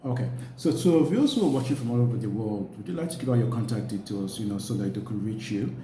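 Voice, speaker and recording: A man hosting an interview, asking questions in a halting way, restarting and repeating phrases. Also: on the low side, animated, mellow. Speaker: show host, interviewer. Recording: echoey room with faint background noise.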